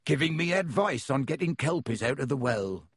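A man voicing a big, gruff, kindly half-giant gamekeeper who growls in a rustic dialect. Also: on the high side, very animated, moderate pace, a bit bright, dry recording, clean studio sound, voice pushed up, full character voice.

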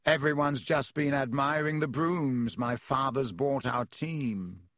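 A man's voice: medium pitch, slow pace, a bit bright, dry recording. Man as sneering rich schoolboy, smug drawl, spiteful, audiobook character, dry sound.

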